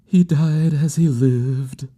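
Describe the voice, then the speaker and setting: A man voicing a grieving, devoted woman in a literary audiobook, pleading and intense in a hushed, drawn-out delivery. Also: fairly high pitch, fairly even intonation, pitched above the performer's own voice. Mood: low, bitter. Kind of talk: dramatic literary audiobook character acting.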